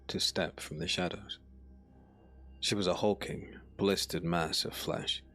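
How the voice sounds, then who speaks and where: Low voiced guy narrating dark fantasy, solemn and dramatic, some music under it. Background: background music.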